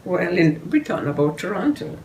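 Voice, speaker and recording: A woman's voice: on the low side, big pitch swings, fairly quick, darkish tone. An older woman with a Jamaican accent, chatting casually as co-host of a home cooking vlog, adding short chuckling remarks. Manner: brief, chuckling asides. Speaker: co-host, cook. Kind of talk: casual chat. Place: dining room at home. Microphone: camera across the table. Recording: roomy, distant, faint hiss.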